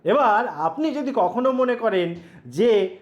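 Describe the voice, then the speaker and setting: A man's voice: sits high, expressive, takes it slowly, dull audio. A man presenting a casual how-to tutorial, explaining emphatically and putting questions to the listener. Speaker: tech tutorial host. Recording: echoey room.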